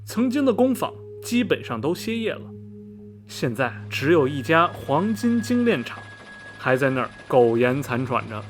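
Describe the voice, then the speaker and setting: A man's voice: a little high, big pitch swings, moderate pace, slight background noise. A man playing a chatty middle-aged railway station veteran in a radio drama, folksy and rambling, with many sighs and interjections. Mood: gossipy storytelling. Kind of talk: Mandarin radio drama dialogue, storytelling. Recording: music behind the voice. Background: background music.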